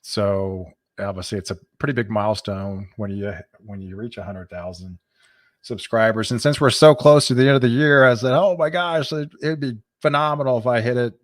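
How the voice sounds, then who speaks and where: A middle-aged man from Texas, hosting a weather channel livestream and chatting casually with viewers. He stumbles and repeats words as he talks. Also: mid-range voice. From Texas, USA. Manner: halting, repeats words, chuckles often.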